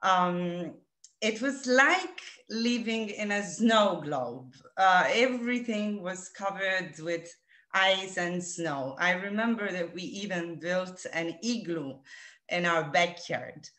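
Slow-talking Italian-accented woman guide. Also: lowish voice, fairly bright tone. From Italy. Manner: slow, hesitant, many fillers. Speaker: tour director. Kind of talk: virtual tour presentation. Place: home room. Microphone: video call.

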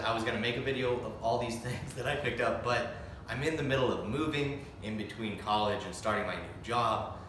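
A man's voice: fairly low pitch, sharp tone, a little hiss or hum. A young man in his twenties giving a casual, opinionated tool review, with lots of ums and restarts, filmed standing in a small empty room of a house. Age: 20s. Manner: chatty, opinionated, many "um"s and restarts. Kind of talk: casual product review vlog. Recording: echoey bare room.

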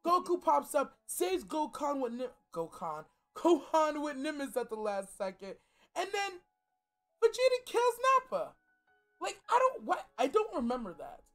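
A young man hosting a reaction show in casual, joking, animated commentary. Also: very high-pitched, a little slow, faint music behind. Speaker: reaction channel host. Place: small furnished game room indoors.

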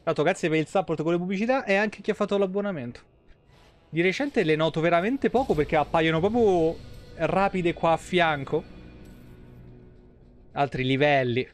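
A man, giving casual, playful and slangy live commentary on a game. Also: high-pitched. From Italy. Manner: playful, slangy, mock exclamations. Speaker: game livestreamer. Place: small indoor room, streaming setup.

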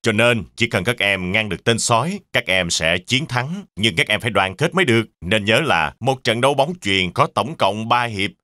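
Cartoon zebra coach, crisp forceful tone, dry studio sound, encouraging, instructive. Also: male voice, fairly low pitch, lively intonation, moderate pace, few pauses, dry recording. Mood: urging.

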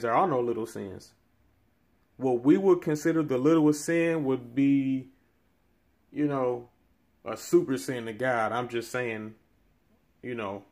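A man in his thirties talks through scripture in an earnest, preacherly way, his voice climbing higher and stronger whenever he drives a point home. His pitch sits in the ordinary middle range for a man. He records on a phone held close in a small room. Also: gravelly. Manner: preacherly, rising in pitch and force on key phrases. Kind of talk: earnest Bible-teaching commentary. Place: small room indoors by window blinds. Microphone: phone camera held close.

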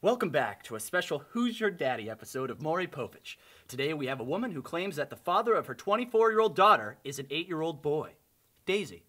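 A commanding puppet showman played by a performer with a sharp, forceful voice. The delivery swings widely and theatrically, fit for a comic preacher or talk-show host. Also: mid-range voice, very animated, a little fast. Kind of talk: sock puppet parody acting.

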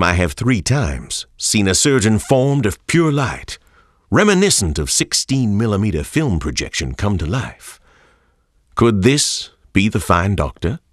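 Scholarly researcher reading his journal, horror game, real deep voice, measured and literary, very dry sound. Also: fairly bright tone, dry recording, exaggerated voice.

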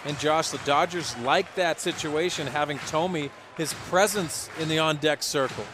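A fairly high-voiced American man works as a radio baseball analyst, explaining situations conversationally. Behind him is a loud ballpark crowd with plenty of stadium noise. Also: unhurried. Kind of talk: live baseball color commentary. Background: ballpark crowd and outdoor stadium ambience.

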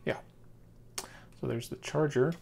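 A man with an American accent talks through a product in an informal, think-aloud way. His voice sits at a medium pitch. There is background noise with small handling clicks around him. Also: fairly even intonation, slow, gravelly. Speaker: product reviewer. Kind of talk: casual unboxing commentary.

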